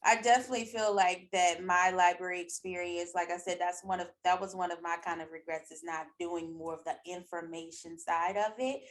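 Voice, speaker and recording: A woman hosting a casual interview, chatty and relaxed, often repeating words as she goes. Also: lowish voice, fairly quick, few pauses. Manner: chatty, repeats words, laughs while talking. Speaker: host.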